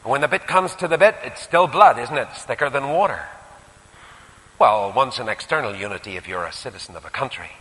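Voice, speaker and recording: A man with a Northern Irish accent preaching a sermon, putting rhetorical questions and moving between emphatic rises and quieter asides. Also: medium pitch, lively intonation, fairly quick. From Northern Ireland. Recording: echoey hall with long reverb.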